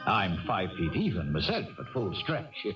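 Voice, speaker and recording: A man's voice: on the low side, sing-song, moderate pace, recorded from a distance. A talkative little Irish horseman in his fifties for a radio drama. His folksy lilt swoops widely up and down. It comes through muffled, like an old broadcast, with music behind. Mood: wistful storytelling. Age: 50s. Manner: folksy, lilting, blarneying talk. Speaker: character narrator. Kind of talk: 1970s radio drama acting.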